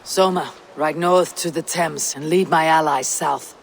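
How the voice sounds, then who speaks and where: Stoic Viking war leader, faint noise. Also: female voice, sits low, unhurried, vocal fry. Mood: resolute, tactical. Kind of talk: dramatic video game acting.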